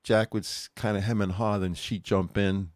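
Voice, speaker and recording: Low-pitched male co-host, rambling chat, dry close mic. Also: steady tone, moderate pace, no room sound. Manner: rambling, laughs at own jokes. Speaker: podcast co-host. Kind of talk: casual chat.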